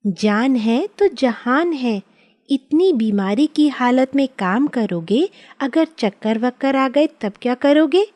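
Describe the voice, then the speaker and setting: A woman's voice: high voice, animated, slow, darkish tone. A woman voicing a caring, worried wife of a poor villager in a cartoon. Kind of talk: cartoon dialogue.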